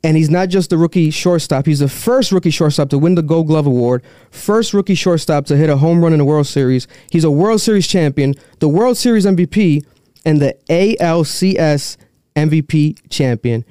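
A man's voice: a little high, normal speed, dark tone, clear, resonant tone, no echo, clean studio sound. A man hosting a casual interview. He hypes up his guest, talks animatedly and laughs easily. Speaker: podcast host.

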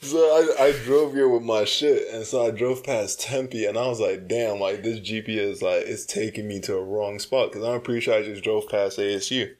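Low-voiced young man telling stories. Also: moderate pace, hardly any pauses. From California. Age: young adult. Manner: relaxed storyteller, laughs at his own stories. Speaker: podcast co-host, college student. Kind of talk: casual chat.

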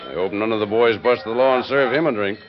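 An actor playing a jovial, teasing saloon keeper with a folksy manner in a classic radio Western. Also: male voice, on the low side, fast, over music, natural voice. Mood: curious. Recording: dull old radio recording, crowd noise.

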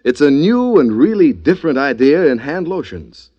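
A man delivering a polished, emphatic 1940s radio advertising pitch as announcer. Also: sing-song, normal speed. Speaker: commercial announcer. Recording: dull, lo-fi old radio recording.

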